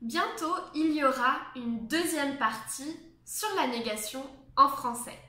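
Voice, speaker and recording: A woman teaching a beginners' French lesson, speaking slowly and clearly and adding English glosses. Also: high-pitched, pushed and bright. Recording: echoey room.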